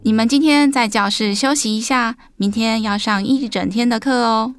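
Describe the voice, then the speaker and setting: A woman's voice: a little high, moderate pace, close to an everyday voice. A woman voicing a kind, instructive teacher of little animals in a children's story. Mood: welcoming. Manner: encouraging, clear instruction to small children.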